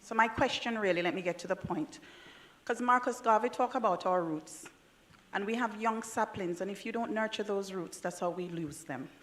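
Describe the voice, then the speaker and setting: Jamaican woman from the conference floor, mid-pitched, persuasive and earnest; an impassioned audience question. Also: moderate pace, a bit bright. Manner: earnest, persuasive, folksy phrasing. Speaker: audience member asking a question.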